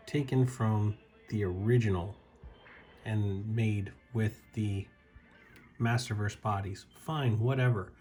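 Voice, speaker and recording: A man casually reviewing an action figure, his voice a bit raspy as he recovers from being ill, talking in a halting way with fillers and admiring asides. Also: lowish voice, darkish tone. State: slightly raspy from recovering illness. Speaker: toy reviewer.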